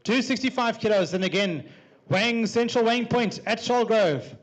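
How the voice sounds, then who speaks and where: A man calling bids as a livestock auctioneer in a rapid, repetitive, rhythmic chant. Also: sits high.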